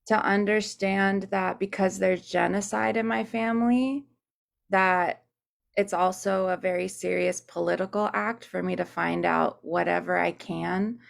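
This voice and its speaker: A woman singer and teacher on a video call from her desk at home, telling her personal story in an earnest, searching way and pausing to gather her thoughts. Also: medium pitch, vocal fry. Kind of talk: reflective personal account. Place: home room at a desk by a window.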